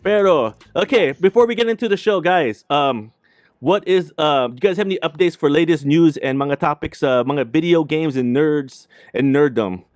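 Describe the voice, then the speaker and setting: A man's voice: fairly high pitch, expressive, moderate pace, dry recording, clean studio sound. A man hosting a casual livestream chat in an informal, slangy way. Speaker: host of a video game livestream show.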